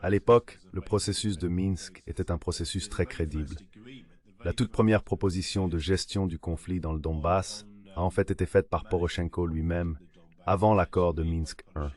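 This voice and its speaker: A man with a very low voice delivers a translated voice-over of political commentary. His delivery is measured and read out, like a dubbed interview answer. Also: fairly even intonation, a little mellow.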